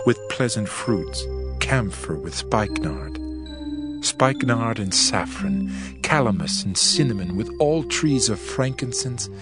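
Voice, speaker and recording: A man playing an adoring bridegroom king in a dramatized scripture reading, solemn, tender and deliberate. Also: on the low side. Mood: enraptured. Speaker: actor reading dramatized audio Bible. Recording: music bed behind the voice.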